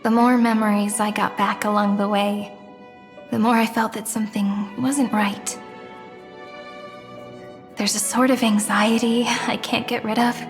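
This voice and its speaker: An actress playing a gentle, wistful young woman in a game cutscene, speaking softly and earnestly. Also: medium pitch, little pitch movement, a little slow, pauses often, faint music behind, natural voice. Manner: soft, earnest and reflective. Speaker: game character. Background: soft game score.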